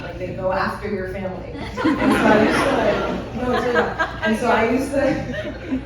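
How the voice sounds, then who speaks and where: A woman raised in America who talks on a panel in a lively, jokey way. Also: medium pitch, background noise. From United States. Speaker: actor on a panel. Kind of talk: casual panel talk. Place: theater stage. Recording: echoey hall, distant mic, crowd.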